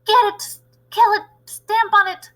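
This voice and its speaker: A woman's voice: very high-pitched, steady tone, unhurried, fairly bright tone, pitched above the performer's own voice, rough recording. A woman voicing rowdy, scornful male kitchen workers in a storybook with barked exclamations. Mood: alarmed, aggressive. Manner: barking, jeering exclamations.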